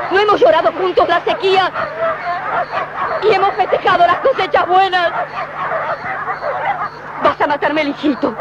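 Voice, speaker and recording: An actress playing a desperate mother, pleading insistently in a melodramatic 1970s film. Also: extremely high pitch. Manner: insistent, wailing rhetorical pleading. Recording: muffled film sound, noisy crowd and dogs.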